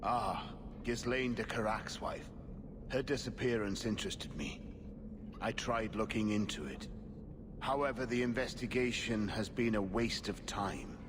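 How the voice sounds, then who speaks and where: Weary low-voiced aging templar. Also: male voice, animated, unhurried, background noise. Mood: grave, earnest. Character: weary aging templar warrior, earnest investigator. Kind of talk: video game dialogue acting. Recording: reverberant hall with music behind.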